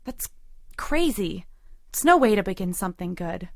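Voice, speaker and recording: A woman's voice: mid-range voice, sing-song, moderate pace, no echo, studio quality. A woman playing a hurt, resolute girlfriend in an audio drama, with an intimate, controlled and emotionally weighted delivery. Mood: firm, heated. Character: hurt, resolute girlfriend leaving her long-term boyfriend. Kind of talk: audio drama acting with first-person narration.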